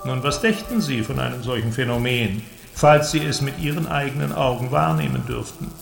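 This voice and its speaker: A man's voice: mid-range voice, normal speed, vocal fry. An actor playing a confident inventor who presents his android creation in a radio drama. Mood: grand, testing. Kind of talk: German radio drama acting. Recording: roomy echo, music behind.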